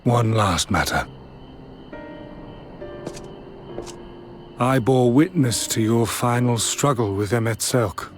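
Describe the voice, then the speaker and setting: Man acting a slow, grave, vengeful immortal villain. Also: mid-range voice, animated, natural voice. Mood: pensive. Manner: grave, deliberate, archaic formal speech. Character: solemn, vengeful immortal male sorcerer villain, coldly dutiful. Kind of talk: dramatic fantasy video game acting. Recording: orchestral music behind the voice.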